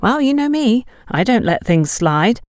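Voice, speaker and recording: A gossipy, worldly young shop girl, played by a woman narrator, spilling a story in confidence. Her pitch is lifted and swoops dramatically, in a dry audiobook recording. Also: very animated, measured pace, darkish tone, dry recording. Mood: indignant, confiding.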